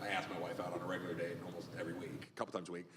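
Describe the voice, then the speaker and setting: Rambling fifty-something pastor hosting. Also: male voice, sits low, monotone, very fast, in a large hall, background noise. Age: 50s. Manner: rambling, loose, lots of fillers. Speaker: pastor and podcast host. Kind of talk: casual podcast conversation.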